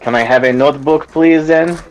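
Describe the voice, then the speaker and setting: A man's voice: fairly high pitch, brisk, slight background noise, voice pushed up, close to an everyday voice. A man voicing a polite, awkward customer in a put-on French accent, stammering and flustered. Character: polite, awkward young man shopping for paper. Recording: dull lo-fi audio.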